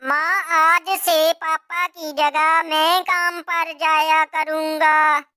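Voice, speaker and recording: A woman's voice: sits high, flat delivery, very slow, bright, forceful tone, exaggerated voice. A woman voicing a young male crow, a stubborn, childlike son in a cartoon moral story. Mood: resolved.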